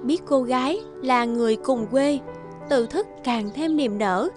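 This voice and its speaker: A woman's voice: high-pitched, measured pace, music in the background. A woman reading scripted fairy-tale narration as a storyteller.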